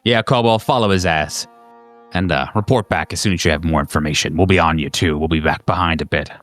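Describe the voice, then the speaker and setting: A man voicing a cold agent team commander in a tabletop horror game, measured, profane and relishing violence. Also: low-pitched, a bit bright, faint music behind, voice pushed down. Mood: commanding, sinister.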